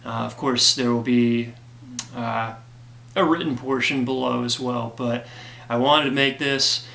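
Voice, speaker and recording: Man, hoarse from a cold, gravelly, bright edge, echoey room, earnest casual update. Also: mid-range voice, fairly even intonation, measured pace. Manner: earnest and grateful, many fillers. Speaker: comic creator giving a campaign update. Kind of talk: casual direct-to-camera talk.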